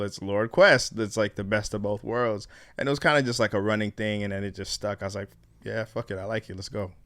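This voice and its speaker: Young adult man, low-pitched, smooth clear tone, relaxed slangy guest, dry close sound. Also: dry recording. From born in Ghana, raised in Canada. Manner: laid-back, slangy, swears freely. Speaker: interview guest. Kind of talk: casual interview chat.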